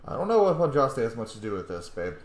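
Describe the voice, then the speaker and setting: A man's voice: medium pitch, sing-song, fast talker. A man giving casual, informal let's play commentary as a channel host, reacting wryly aloud. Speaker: video game streamer, channel host. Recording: echoey room, faint game noise.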